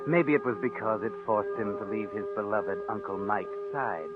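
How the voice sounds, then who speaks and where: An actor as the mysterious narrator of a 1940s radio mystery, telling the story in a knowing, wry way. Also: male voice, on the low side, moderate pace, natural voice. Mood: sly. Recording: tinny old radio broadcast with loud music.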